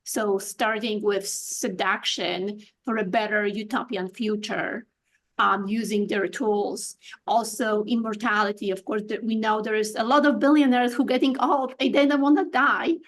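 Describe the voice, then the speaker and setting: A woman's voice: mid-range voice, fairly even intonation, normal speed. A woman from Poland with a Polish accent, a guest on a podcast interview, speaking thoughtfully with many fillers and restarts. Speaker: guest artist.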